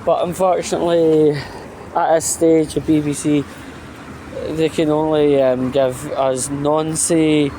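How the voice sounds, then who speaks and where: Male vlogger, unhurried and halting, emphatic and foul-mouthed, informal rant, noticeable street-like background noise. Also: a little high, little pitch movement, mellow, poor audio. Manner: blunt, sweary, emphatic. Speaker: vlog host.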